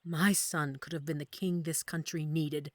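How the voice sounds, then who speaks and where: A woman's voice: low-pitched, steady tone, normal speed, no echo, lightly acted. A woman playing an imperious, scheming deposed queen in a fantasy audio drama, speaking in a haughty, formal, theatrical way. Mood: cold confession. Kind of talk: fantasy audio roleplay acting.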